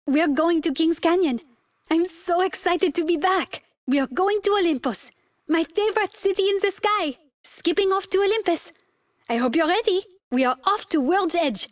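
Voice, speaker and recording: A woman playing a sweet, excitable young video game heroine with an eager, innocent manner. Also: very high voice, moderate pace, dry recording, clean studio sound, far from the mic. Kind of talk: video game character lines.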